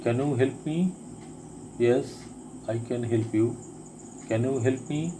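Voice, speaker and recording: Man, slow deliberate drill reading, crickets chirping loudly behind. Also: medium pitch, background noise. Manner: deliberate, repeats each sentence twice. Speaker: English teacher. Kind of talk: spoken English lesson, reading drill sentences.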